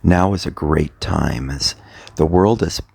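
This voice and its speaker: A man with an extremely low, creaky voice leads a guided meditation as a coach. He speaks slowly and deliberately, leaving long pauses between short phrases. The gravelly, husky sound is captured close and dry. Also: no echo. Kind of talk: guided meditation, soft motivational talk.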